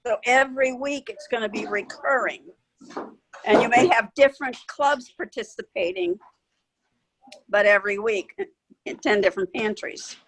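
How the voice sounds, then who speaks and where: A woman's voice: a little high, normal speed, a little hiss or hum, rough recording. A woman taking part in a video call meeting, explaining her situation in unscripted talk. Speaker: meeting participant.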